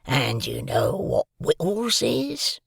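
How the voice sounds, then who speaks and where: A man voicing a hungry, threatening escaped convict, speaking in slow, growling, half-whispered threats. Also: medium pitch, wildly swooping pitch, creaky, slightly rough, dry recording, clean studio sound, exaggerated voice. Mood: menacing. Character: menacing ragged male convict, hungry and threatening.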